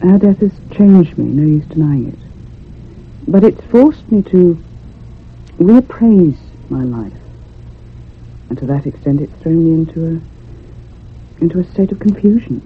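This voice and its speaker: An actress playing a depressed, lonely woman writer in her thirties, speaking in a measured, confiding in a radio drama. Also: low voice, animated, breathy edge, exaggerated voice. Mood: downcast, confiding. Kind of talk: radio drama acting and first-person narration. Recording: muffled lo-fi old radio recording.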